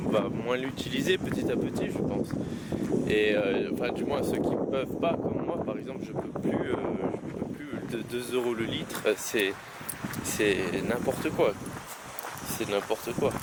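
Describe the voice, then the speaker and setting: A man's voice: fairly low pitch, measured pace. A young man talking casually to camera while hiking outdoors, rambling with frequent hesitations and restarts. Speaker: vlogger. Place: outdoors on mountain trails in wind. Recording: loud wind noise on the mic.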